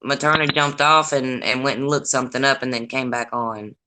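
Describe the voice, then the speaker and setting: An older woman with a deep raspy voice and a Deep Southern accent, hosting a casual livestream chat in a blunt, profane, gossipy way. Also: steady tone, a little fast, bright, forceful tone. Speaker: livestream host. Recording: noisy livestream audio.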